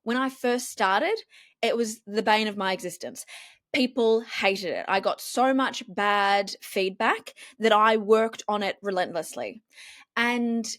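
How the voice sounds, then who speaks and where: A woman, a TV journalist and confidence coach, talking in a casual interview with an expressive, strong reporter-style delivery. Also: mid-range voice, unhurried, no room sound, clean studio sound.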